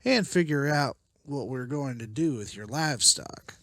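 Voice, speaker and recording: Man acting a animated, decisive survivor leader. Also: medium pitch, normal speed, dry recording, clean studio sound. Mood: reassuring. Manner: firm, practical. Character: decisive, level-headed male leader of survivors. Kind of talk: motion comic dramatic acting.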